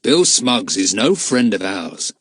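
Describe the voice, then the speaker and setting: A man voicing a menacing one-eyed male villain who talks roughly and mockingly, read in a storybook. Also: fairly low pitch, sing-song, slow, fairly bright tone, no echo, exaggerated voice. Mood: cold. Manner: rough, jeering. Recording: dry close mic.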